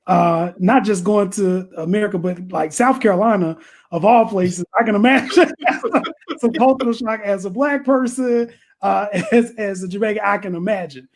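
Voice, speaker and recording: High-voiced laughing male host. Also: moderate pace. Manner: easygoing, laughs often, restarts his questions. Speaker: interview host. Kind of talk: casual interview chat.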